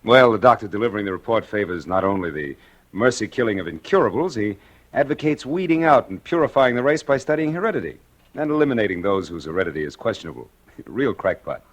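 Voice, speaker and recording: A man playing a brisk, domineering doctor and father in 1940s radio drama, hearty, assertive and needling, on a vintage recording. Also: on the low side, lively intonation. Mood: probing.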